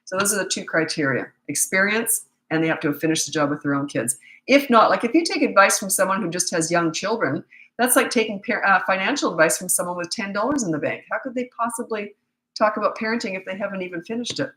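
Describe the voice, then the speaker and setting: A woman's voice: mid-range voice, lively intonation, fast, a bit bright, reverberant, poor audio. A woman in her sixties with a cold, her voice giving out, giving blunt, matter-of-fact parenting advice on a live stream. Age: 60s. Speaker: parenting coach.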